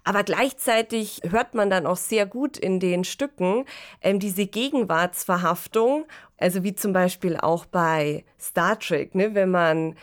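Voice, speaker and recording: A woman's voice: medium pitch, a little slow. A woman presenting a podcast in a conversational, reflective and engaged way, often adding little tag questions as she explains. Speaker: podcast co-host; political scientist and science fiction analyst.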